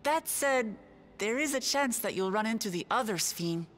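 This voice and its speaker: An actress playing a warm, caring, upbeat young leader in a video game, with a friendly, plain-spoken manner. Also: female voice, fairly high pitch, lively intonation, moderate pace, faint music behind. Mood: reassuring, upbeat. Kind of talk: scripted video game cutscene acting.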